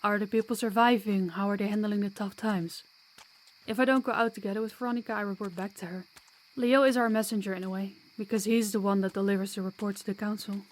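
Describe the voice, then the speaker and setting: A woman's voice: mid-range voice, steady tone, quick pace, natural voice, poor audio. Female vampire guide voiced by a woman, mid-range voice, chatty, kind and teasing, for an audio roleplay. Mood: earnest, reassuring. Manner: chatty, reassuring, playful.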